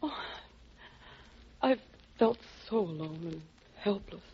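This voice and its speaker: Casting: worried society widow, husky and pleading, vintage radio mystery, muffled recording. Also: female voice, medium pitch, expressive, slow, full character voice. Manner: earnest, breathlessly dramatic. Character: anxious, genteel widowed female client pleading for help. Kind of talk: 1940s radio detective acting.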